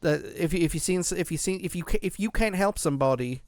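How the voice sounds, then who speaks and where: A man's voice: high-pitched, expressive, fast, dry recording. A man hosting a podcast, chatting casually about music in a rambling way with lots of restarts. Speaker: podcast host.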